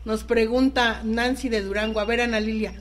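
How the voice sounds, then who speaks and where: A woman's voice: on the high side, fairly even intonation, normal speed. A woman with a Mexican accent hosting a chatty radio show. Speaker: radio host.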